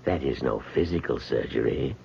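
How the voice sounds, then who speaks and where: An actor playing a frail, wealthy old man in a radio drama, speaking in a deliberate, coaxing manner. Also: rumbling low, expressive, vocal fry, heavily acted. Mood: insistent persuasion. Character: frail, sick, wealthy old man, calmly persuasive bargainer. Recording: dull, noisy 1970s radio recording.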